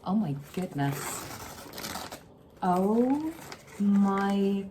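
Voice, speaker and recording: A young woman from the UK, a houseplant vlogger, talking in a casual, gushing way with a slightly croaky voice. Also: medium pitch, drawn-out words, background noise. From United Kingdom. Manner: gushing, excitable, repeats words, breaks into laughs. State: slightly croaky from a late night. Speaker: houseplant content creator. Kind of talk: casual unboxing vlog.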